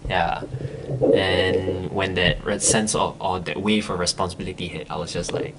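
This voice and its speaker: Deep-voiced young man. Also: steady tone, normal speed. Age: young adult. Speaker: podcast guest, student club vice president. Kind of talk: casual podcast chat. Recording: clear background noise.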